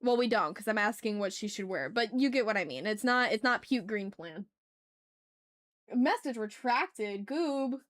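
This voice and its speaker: A woman's voice: mid-range voice, expressive, unhurried, fairly bright tone, no echo, studio quality. A woman chatting casually and playfully with her viewers as she hosts a live art stream. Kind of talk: casual livestream chat while drawing.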